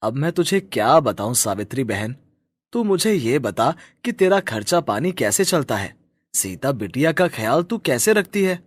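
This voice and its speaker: Casting: kind-hearted family man played by a male actor, mid-pitched, insistent, highly expressive, moral storybook drama. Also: normal speed, no room sound, clean studio sound. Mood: sympathetic. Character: kind-hearted working husband, caring brotherly family friend.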